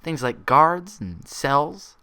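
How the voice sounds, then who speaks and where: A man voicing an earnest, inquisitive female unicorn with a precise, measured, questioning manner, in a story reading. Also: a little high, big pitch swings, bright, forceful tone, clean tone, pitched above the performer's own voice, full character voice. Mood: pressing, suspicious. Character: inquisitive, persistent young unicorn mare, sharp and earnest.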